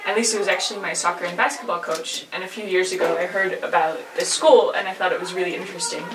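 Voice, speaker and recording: A woman's voice: on the low side, quick pace, background noise. Dutch young woman, teacher interviewee, roomy distant sound, music behind. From Netherlands. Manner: relaxed, thoughtful, with filler ums. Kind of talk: answering interview questions. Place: school studio set.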